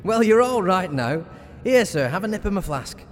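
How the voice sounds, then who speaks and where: An actor playing a steadfast, hearty army captain in a radio horror drama, a reassuring and teasing friend. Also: male voice, high voice, sing-song, moderate pace. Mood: soothing, teasing.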